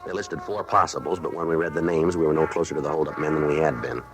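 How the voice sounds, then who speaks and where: An American actor playing a terse, businesslike police detective who narrates and questions in clipped sentences in a 1950s radio crime drama. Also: male voice, extremely low pitch, fast, over music. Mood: deadpan. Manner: clipped, deadpan, matter-of-fact. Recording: old radio recording, faint noise.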